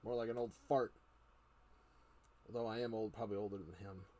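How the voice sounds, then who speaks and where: A man's voice: lowish voice, steady tone, fairly quick, a little mellow, voice pushed down, natural voice. Man playing a vain, rambling centuries-old wizard. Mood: vain, wry. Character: wizard over four hundred years old, vain, grumbling storyteller. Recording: noticeable background noise.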